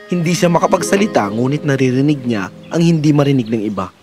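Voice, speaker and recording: A man's voice: mid-range voice, lively intonation, fast, over music. A man narrating a scripted fairy tale in a dramatic storytelling manner.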